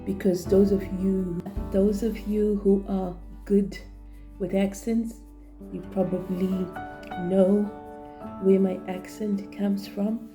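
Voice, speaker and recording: A woman in her forties from Zimbabwe, with a Zimbabwean accent, talking thoughtfully in a personal vlog with many pauses and fillers. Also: medium pitch, little pitch movement, warm tone, faint music behind. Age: 40s. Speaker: vlogger and trainee teacher.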